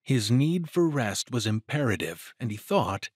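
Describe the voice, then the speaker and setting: A man's voice: medium pitch, very animated, measured pace, dry recording, clean studio sound. A man narrating a scripted adventure story in a dramatic style, as the narrator of an audio drama.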